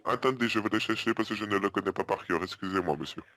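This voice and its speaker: A man playing an earnest, muddled hotel guest on a prank phone call, hesitant, repetitive and politely deadpan. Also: on the low side, fast. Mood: apologetic. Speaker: prank caller.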